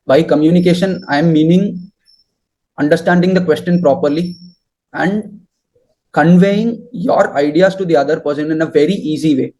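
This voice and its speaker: A young man answering interview questions and giving advice in halting, deliberate phrases. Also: on the high side, darkish tone, poor audio. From southern India.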